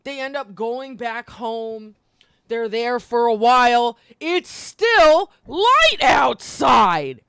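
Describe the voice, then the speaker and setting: A woman's voice: on the high side, expressive, drawn-out words, gravelly, a bit bright, smooth, no echo, clean studio sound. A woman chatting casually on a livestream, informal, opinionated and slangy in an emphatic way. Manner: informal, slangy, frequent swearing. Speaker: live streamer.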